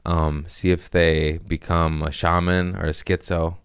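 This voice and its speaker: A man hosting a podcast, rambling through loose, profane jokes in casual chat with a deep voice. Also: flat delivery, a little slow, clean tone, no room sound, studio quality. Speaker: podcast host, comedian.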